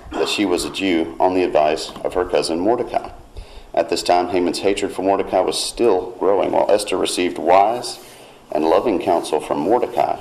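A man preaching a sermon in church, retelling a scripture story in a measured way and reading verses aloud with rising emphasis. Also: sits low, vocal fry, a little mellow, rough recording.

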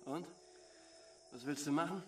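A domineering, short-fused husband in a radio play. His voice sits a little high for a man. He can be apologetic or gruff. Music plays clearly behind him. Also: measured pace, a bit bright. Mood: curt. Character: volatile, controlling husband, apologetic then gruff. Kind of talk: German radio drama acting.

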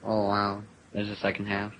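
A man's voice: on the low side, completely flat delivery, normal speed, a bit bright, a little hiss or hum, low-quality recording. A young man co-commentating a game clip over a headset mic, exasperated and protesting against his friends' teasing.

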